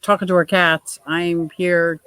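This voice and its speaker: A woman in her sixties with a deep voice, hosting a casual livestream, reading viewer comments and laughing often in a gushing, playful way. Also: little pitch movement, measured pace, fairly bright tone, smooth, no echo. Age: 60s. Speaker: livestream host.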